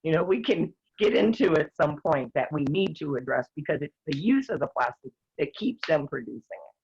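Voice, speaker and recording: Older woman, earnest and a bit hoarse. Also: deep voice, lively intonation, brisk, a little mellow, slight background noise. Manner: earnest, rambling, with many restarts. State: losing her voice, clearing her throat. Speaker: guest activist. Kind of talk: casual talk-show discussion. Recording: dull lo-fi sound.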